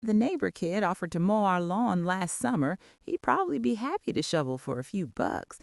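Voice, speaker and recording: A woman's voice: medium pitch, very animated, moderate pace, creaky, no room sound, clean studio sound. A woman playing a firm older wife who scolds, teases and coaxes in a comic radio skit. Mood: coaxing, teasing. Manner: scolding yet coaxing. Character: older wife, firm, coaxing, gently teasing.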